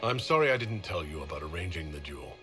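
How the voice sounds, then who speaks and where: A heroic leader in a game cutscene, earnest and devoted. The voice is deep and bright, moving expressively up and down as he speaks sincerely. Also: animated, fast, faint music behind, exaggerated voice. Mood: earnest, loving. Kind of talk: video game cutscene acting.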